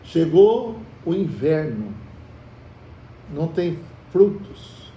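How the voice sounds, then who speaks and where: A man's voice: on the high side, very animated, very slow, mellow. A man with a Brazilian Portuguese accent leading a Bible study, talking in a conversational way, quizzing the group with questions and calling them brothers. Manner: calls the listeners brothers. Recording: echoey room, from far off, faint hiss.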